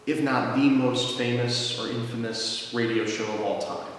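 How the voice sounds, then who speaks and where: A man's voice: medium pitch, fairly even intonation, moderate pace, very echoey, in a large hall, very noisy. A young man involved in radio theatre, speaking casually and wryly in a documentary interview. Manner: casual, wry, many restarts. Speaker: radio theatre writer and performer.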